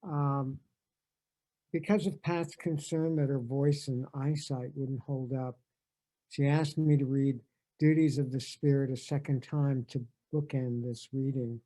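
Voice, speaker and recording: A man speaking in a measured, deliberate way at a poetry reading held over a video call. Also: mid-range voice, steady tone, warm tone, low-quality recording. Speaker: poet, reader at a tribute event. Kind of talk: informal introduction and poetry reading.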